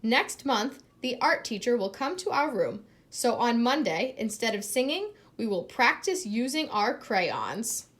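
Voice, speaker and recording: Woman acting a strict, bossy schoolteacher, emphatic and high. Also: big pitch swings, unhurried, hardly any pauses, a bit bright. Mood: instructing. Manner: firm, clipped classroom commands. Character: strict, bossy female first grade teacher.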